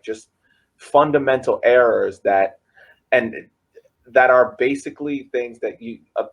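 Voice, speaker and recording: A man co-hosting a soccer podcast, talking casually with many pauses and restarts. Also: mid-range voice, low-quality recording. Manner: hesitant, many ums and restarts. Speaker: podcast co-host reporting on a press conference.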